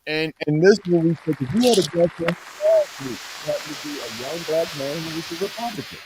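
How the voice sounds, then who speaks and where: A man's voice: a little high, wildly swooping pitch, noisy background. Older guy, 60s, preaching on a podcast, stretches words out, voice goes all over. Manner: preachy, emphatic, drawn-out phrases. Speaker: podcast co-host. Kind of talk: casual podcast chat.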